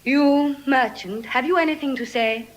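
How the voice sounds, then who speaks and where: A woman playing a composed, shrewd young lawyer in classical Shakespearean style, speaking formally and deliberately. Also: high-pitched, highs cut off, a little hiss or hum, close to an everyday voice. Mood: cool. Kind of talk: classical Shakespearean stage acting.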